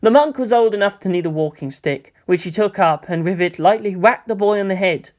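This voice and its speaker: A man's voice: sits high, a little fast. A man reading scripted third-person fiction as the narrator of a story.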